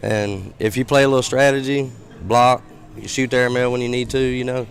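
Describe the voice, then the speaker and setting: A hoarse man, the tournament winner, answering interview questions politely and laid-back through a headset. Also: medium pitch, steady tone, fairly quick. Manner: laid-back, polite, says yes sir. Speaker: winning player interviewed. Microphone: shared headset.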